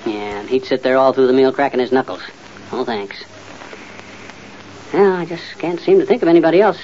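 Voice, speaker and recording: An actor playing a blustery, folksy, know-it-all middle-aged husband in an old-time radio comedy. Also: male voice, medium pitch, brisk, a little mellow, a little hiss or hum, lightly acted. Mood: grumbling. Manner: folksy, boastful, muttering and sputtering. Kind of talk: 1940s-50s radio comedy acting. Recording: muffled old radio recording.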